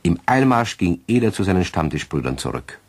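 An actor as a kindly, gently wry storyteller with a husky voice, narrating a children's radio play. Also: male voice, sits low, very animated, brisk, heavily acted. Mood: dramatic, wry.

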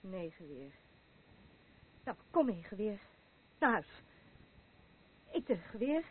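A worn-out wife in a radio play, fretful and nagging. Her voice is husky and mid-pitched, heavy with exasperation. The sound is dull and boxy, like an old narrow broadcast. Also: sing-song, slow, heavily acted. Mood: weary, coaxing. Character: worn-out, exasperated wife caring for husband and mother. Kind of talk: Dutch radio play acting.

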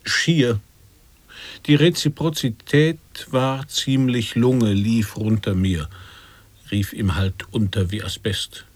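An actor playing a pompous declaimer of nonsense verse in an avant-garde radio play, with emphatic, chopped, incantatory recitation. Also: male voice, mid-range voice, steady tone, slow, no room sound. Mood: mock solemn.

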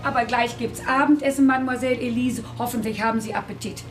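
An actress playing a kindly, middle-aged housekeeper and carer, fussing and chattering solicitously in a radio drama. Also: female voice, on the high side, steady tone, fast talker, faint music behind, natural voice. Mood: fussing, cheerful.

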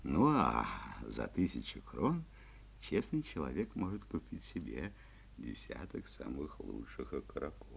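Deep, husky, wildly expressive forester dad. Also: male voice, unhurried, full character voice. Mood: patient, explaining. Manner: emphatic storytelling to a child, astonished. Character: kindly male forester, marvelling father of a boy. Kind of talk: 1970s Soviet audio play acting.

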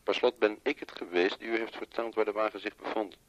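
An actor playing a formal, guarded employee speaking over the telephone in a radio play. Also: male voice, fairly low pitch, fairly even intonation, brisk, no room sound. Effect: telephone filter. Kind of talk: 1970s Dutch radio play acting. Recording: dull, dry telephone-filtered voice.

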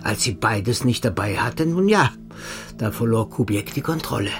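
An actor playing a cold, smug male secret-service agent in a radio play. He speaks calmly and mockingly, sometimes dropping to a hushed, sinister tone. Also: lowish voice, moderate pace. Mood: coldly mocking. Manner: calm, mocking, sinister, drops to hushed tones.

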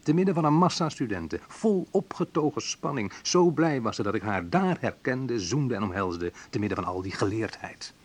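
A man's voice: medium pitch, expressive, normal speed, hardly any pauses, close to an everyday voice. An actor playing a wry, sensitive young man who recalls his student love life in an intimate first-person radio play monologue. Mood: tense recollection.

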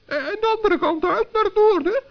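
Tiny squeaky polite gnome. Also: male voice, moderate pace, creaky. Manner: polite, hesitant. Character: little polite forest gnome, earnest peacemaker. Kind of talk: children's storybook radio play. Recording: muffled old sixties recording.

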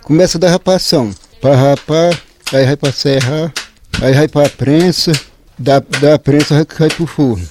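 A man's voice: on the high side, unhurried, a little mellow, a little hiss or hum. A man with a rural Northeastern Brazilian accent from Ceará, explaining things informally in plain colloquial speech as an interviewee. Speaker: interviewee, flour-house worker.

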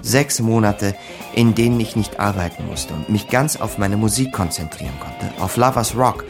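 An actor playing a smooth, glib small-time con man narrating his capers in a German radio crime drama. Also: fairly low pitch, lively intonation, normal speed, music in the background. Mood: dry, self-satisfied. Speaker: narrator and lead character.

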